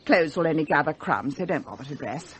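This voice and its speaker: A restless upper-class wife in middle age, in a radio drama. She is precise, witty and scornful, with a lively range of pitch. There is faint noise in the recording. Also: brisk. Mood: breezy, arch. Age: middle-aged. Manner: arch, precise, witty wording.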